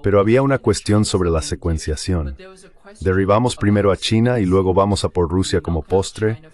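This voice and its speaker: A man's voice: low-pitched, moderate pace, darkish tone. A man giving geopolitical analysis as a guest, in a dubbed voice-over.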